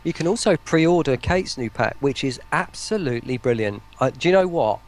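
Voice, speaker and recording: A man's voice: medium pitch, animated, normal speed. A man talking casually and enthusiastically in an interview, rambling and often breaking into his own sentences. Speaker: voice pack producer, stream guest.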